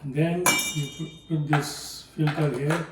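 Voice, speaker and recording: Soft-spoken older man from South India. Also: on the high side, fairly even intonation. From Palakkad, South India. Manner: slow, soft-spoken, hesitant with fillers. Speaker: guest, home cook. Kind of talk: casual demonstration chat. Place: home kitchen. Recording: echoey room from a distance, faint noise.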